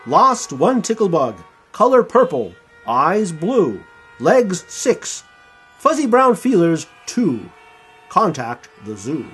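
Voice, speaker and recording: A man's voice: high voice, wildly swooping pitch, takes it slowly, fairly bright tone, husky, faint music behind, full character voice. A man voicing an earnest zookeeper searching for something lost, in a children's storybook. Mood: announcing. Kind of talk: children's storybook read aloud.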